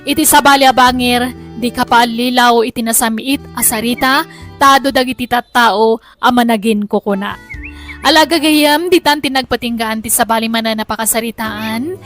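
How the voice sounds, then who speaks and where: High-voiced woman announcing a drama over music. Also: moderate pace, fairly bright tone. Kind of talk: scripted radio drama introduction.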